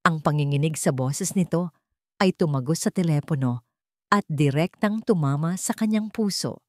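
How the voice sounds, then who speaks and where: A woman's voice: sits low, normal speed, darkish tone, no echo, clean studio sound. A woman narrating a scripted dramatic audiobook story.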